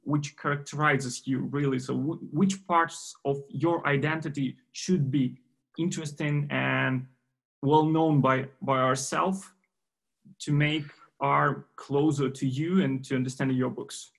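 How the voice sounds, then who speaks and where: A man interviewing a novelist, his speech halting as he restarts words and searches for phrasing. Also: medium pitch, steady tone, creaky, fairly bright tone. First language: Ukrainian. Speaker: interviewer at a book forum. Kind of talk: interview questions.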